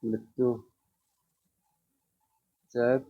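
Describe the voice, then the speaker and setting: A man's voice: mid-range voice, level tone, very slow. Man teaching, dull muffled recording. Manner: explanatory, asks questions and answers them himself. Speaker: exam-prep teacher. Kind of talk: informal lesson walking through quiz questions.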